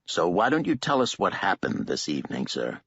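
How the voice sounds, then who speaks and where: A man's voice: low voice, wildly swooping pitch, moderate pace, fairly bright tone, breathy edge, dry recording, heavily acted. A man voicing a smarmy male government agent who stays syrupy-polite and calls everyone sir. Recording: dull, lo-fi audiobook recording.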